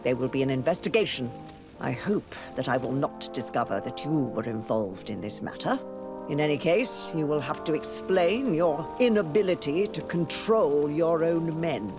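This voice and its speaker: An actor playing a stern, authoritative high church dignitary in a video game, speaking formally and imperiously. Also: male voice, lively intonation, normal speed, music in the background. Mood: stern, reproachful. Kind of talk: scripted video game voice acting.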